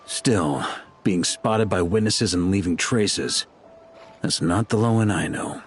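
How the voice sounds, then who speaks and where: An actor playing a sly, confident knight captain in a video game, talking in a wry, teasing way. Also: male voice, low voice, takes it slowly, soft background music. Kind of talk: game voice acting.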